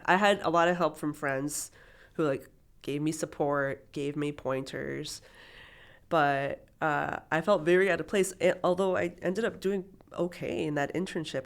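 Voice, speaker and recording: A woman's voice: deep voice, creaky. A woman in her thirties, born in Peru and raised in Miami, chatting casually as an interview guest, full of fillers and restarts. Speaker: documentary photographer, interview guest.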